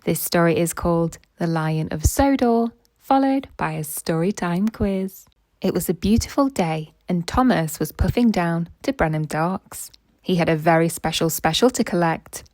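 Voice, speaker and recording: A woman's voice: fairly low pitch, lively intonation, normal speed, a little mellow, no echo. A woman reading a children's story aloud as narrator and quiz host.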